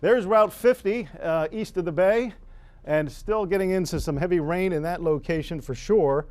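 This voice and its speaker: A man's voice: high voice, moderate pace, darkish tone. An American man working as a TV weather forecaster, ad-libbing live storm coverage in an explanatory way. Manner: explanatory, unscripted.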